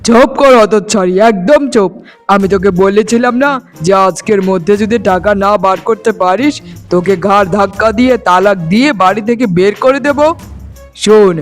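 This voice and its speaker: A man's voice: high-pitched, close to an everyday voice. A man voicing a cruel, greedy young husband, harsh and contemptuous, in a melodramatic cartoon.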